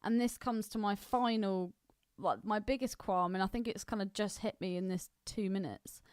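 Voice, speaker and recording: A woman's voice: medium pitch, fairly even intonation, normal speed, smooth, dry recording, studio quality. A woman co-hosting a podcast, chatting casually about films in an opinionated, bantering way. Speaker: podcast co-host. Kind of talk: casual film discussion chat.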